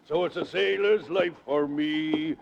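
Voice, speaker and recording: Creaky, high-pitched old sea captain. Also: male voice, a little slow. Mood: cheerful. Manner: folksy nautical dialect, hearty. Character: cheerful, deferential old-salt charter boat captain. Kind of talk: 1940s radio drama acting. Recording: old muffled noisy 1940s radio recording.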